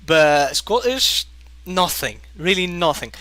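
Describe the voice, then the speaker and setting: A man hosting a casual podcast, speaking non-native English with British leanings in an animated, emphatic way. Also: sits high, slow, no room sound. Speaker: podcast host and English teacher. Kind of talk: casual podcast chat with anecdotes.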